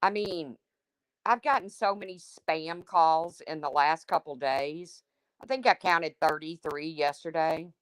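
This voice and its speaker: A woman with a deep, husky smoker's voice hosting a livestream, reading chat comments and answering them in a blunt, chatty way. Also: a little slow, no echo. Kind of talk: casual chat with viewers.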